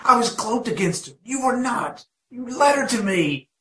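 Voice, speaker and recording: A man's voice: very high-pitched, very animated, moderate pace, a bit bright, reverberant, full character voice. An actor playing a battered, bitter, defiant man in a radio drama, sneering and strained. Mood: scornful.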